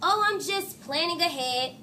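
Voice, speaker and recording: A woman's voice: extremely high pitch, expressive, a little slow, sharp tone, a little hiss or hum, pitched above the performer's own voice, exaggerated voice. A woman voicing a confident, competitive schoolgirl who loves sports, insistent and boastful, in a storybook reading. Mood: eager, boastful. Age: child. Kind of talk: storybook character read aloud.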